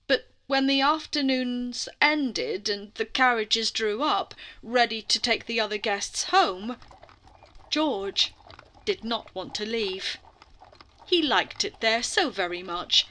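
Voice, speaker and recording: Bright-voiced woman telling a bedtime story. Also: a little high. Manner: expressive, lingering, dramatic phrasing with soft hushed passages. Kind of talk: scripted children's bedtime story narration.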